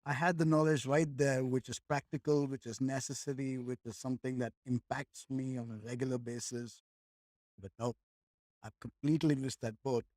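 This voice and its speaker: A man's voice: medium pitch, fairly even intonation, normal speed, darkish tone, no echo. A man, a podcast guest talking in a reflective, rambling way with many restarts. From India. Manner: rambling, with frequent restarts and "like", "right?". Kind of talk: reflective conversational chat.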